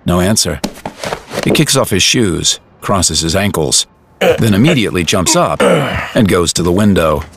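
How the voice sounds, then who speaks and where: Low-voiced man narrating dramatically, widely varied delivery. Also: big pitch swings, unhurried, noisy background. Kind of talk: dramatic scripted narration, present tense.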